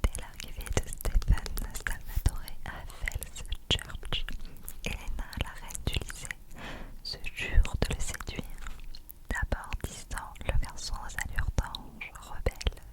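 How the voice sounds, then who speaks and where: A woman speaking in a soft, breathy, unvoiced whisper in an intimate ASMR reading style. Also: low-quality recording. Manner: soft, hushed, intimate delivery. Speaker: ASMR content creator reading a book aloud.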